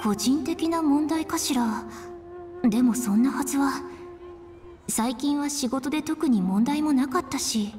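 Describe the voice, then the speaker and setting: A confident young noblewoman in a video game. She sounds high-pitched, practical and matter-of-fact, with faint music underneath. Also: measured pace, a little mellow. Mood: composed, puzzled. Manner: crisp, matter-of-fact, feminine phrasing. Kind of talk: Japanese video game voice acting.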